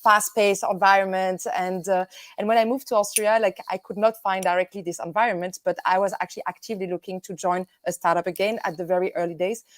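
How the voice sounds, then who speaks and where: A woman's voice: medium pitch, steady tone, fast, no room sound. A woman in her thirties from Belgium, a native French speaker, talking energetically as a guest in a live video interview, laughing often and using many fillers. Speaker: guest, tech company executive.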